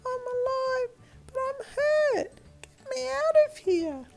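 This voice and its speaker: A woman's voice: very high voice, expressive, slow pace, gravelly, pitched above the performer's own voice, exaggerated voice. A woman voicing a hurt, pleading little girl with a small, thin voice in a children's story. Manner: plaintive, pleading.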